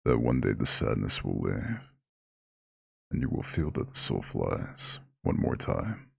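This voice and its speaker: An ancient eldritch god for an ASMR roleplay, rumbles at an extremely low pitch with heavy, gravelly fry. He speaks with lordly, formal pride and a caretaker's tenderness, his intonation moving freely in a close, dry recording. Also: huge pitch swings, no room sound, exaggerated voice. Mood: gentle, consoling. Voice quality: very deep, gravelly, husky growl. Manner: lordly, formal, slow comforting address. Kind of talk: scripted ASMR roleplay monologue.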